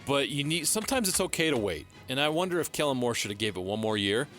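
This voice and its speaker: A man's voice: mid-range voice, big pitch swings, fast, a bit bright, soft background music. An American man co-hosting sports talk radio, giving casual opinions and commentary from a studio.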